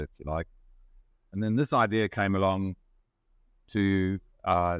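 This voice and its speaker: A middle-aged man from Zimbabwe, a company CEO explaining his business in measured, steady answers as an interview guest. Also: low voice, clean tone, no room sound, clean studio sound.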